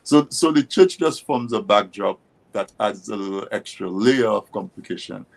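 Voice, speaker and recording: A man hosting an interview, explaining his points in a measured, deliberate, conversational way. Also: on the low side, lively intonation, creaky. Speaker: interview host.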